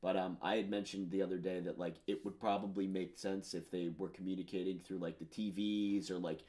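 A man's voice: sits low, little pitch movement, fairly quick, mellow. A man in his thirties talking casually about his own songs in a home studio, rambling with lots of fillers and enthusiasm. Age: 30s. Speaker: musician discussing his album. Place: home studio with purple lighting.

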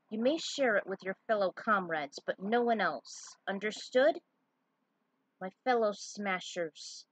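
A woman voicing a solemn male guardian, a giant living hand, in a formal, deliberate manner in a fan story reading. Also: mid-range voice, husky, dry recording, rough recording.

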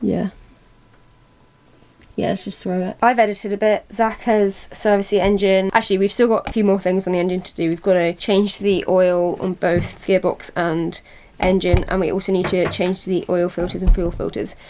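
A woman's voice: mid-range voice, level tone, normal speed, darkish tone, poor audio. A woman, chatting casually and informally for a vlog. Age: 20s. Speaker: vlogger living on a boat. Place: boat cabin, sometimes outdoors at a marina. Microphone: camera at arm's length. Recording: clear background noise, handheld camera.

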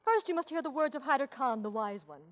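An actress playing an earnest, imperious young princess in old radio comedy, speaking formally and dramatically. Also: female voice, high voice, lively intonation, quick pace. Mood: urgent. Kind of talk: 1940s radio comedy acting. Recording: muffled 1940s radio recording.

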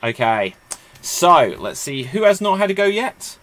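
A man's voice: fairly high pitch, big pitch swings, measured pace, fairly bright tone. A man hosting an online improv comedy game over a video call, wearing a headset and announcing the rounds in an upbeat way.

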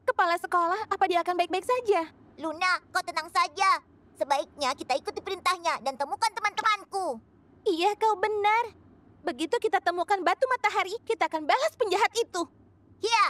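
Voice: extremely high pitch, fairly quick, bright, forceful tone. A performer voicing a plucky, childlike young character in a children's cartoon dub. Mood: earnest.

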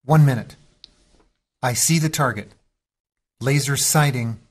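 A man's voice: mid-range voice, measured pace, natural voice. A man playing an alert soldier at a targeting station in a radio drama, giving clipped status reports.

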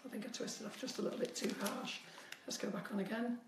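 Woman, 50s, cake decorating tutor, chatty and direct, slight cold squeak; roomy kitchen, distant phone mic. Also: fairly even intonation, fast talker, music in the background. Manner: chatty, instructive, addresses viewers directly. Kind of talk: live-streamed baking demonstration. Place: home kitchen, indoors. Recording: echoey kitchen, voice often off-mic.

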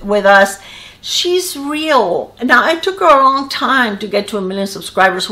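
A woman's voice: mid-range voice, a bit bright. Earnest older woman with Cuban accent. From Cuba. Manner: chatty, earnest, rambling with restarts. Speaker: beauty channel creator. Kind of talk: casual talk to camera. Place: small indoor room with a wall of decorative tiles.

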